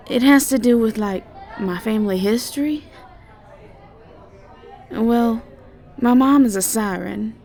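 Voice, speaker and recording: A woman's voice: mid-range voice, creaky, a little mellow. A woman playing a sweet, flustered, ditzy young siren student with a Southern American accent and a hesitant, stammering way of chatting in an audio roleplay. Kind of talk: roleplay audio drama acting.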